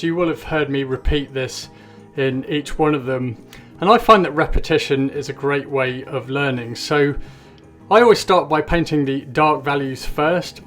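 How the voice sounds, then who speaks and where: Friendly English painting tutor. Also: male voice, medium pitch. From England. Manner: friendly, explanatory.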